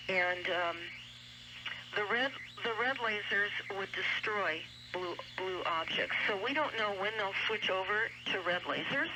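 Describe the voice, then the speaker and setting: Female radio commentator, earnest and emphatic, medium pitch, heard through very noisy shortwave reception. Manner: emphatic, insistent, deliberate. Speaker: radio show guest commentator. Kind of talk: broadcast talk, earnest explanatory monologue. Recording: noisy shortwave radio broadcast, heavy static. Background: loud radio static and noise.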